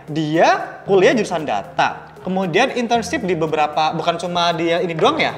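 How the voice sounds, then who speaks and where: A man hosting a casual podcast interview in a playful, animated, slangy and joking manner. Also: fairly high pitch, moderate pace, long reverb tail, soft background music. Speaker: podcast host.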